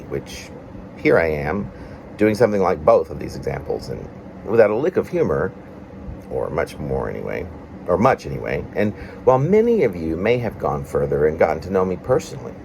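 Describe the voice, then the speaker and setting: A man with a very low voice reads his own reflective poem aloud. His intonation moves around a lot as he goes through formal, halting phrases. A steady background hum runs under the amateur recording. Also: vocal fry. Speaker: poet reading own work. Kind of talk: reading a confessional prose-like poem aloud.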